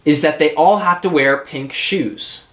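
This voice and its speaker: A man's voice: a little high, unhurried, fairly bright tone. A man voicing a scornful know-it-all older brother, a boy in a storybook, with a dismissive, teasing manner. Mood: smug. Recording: roomy, echoing sound.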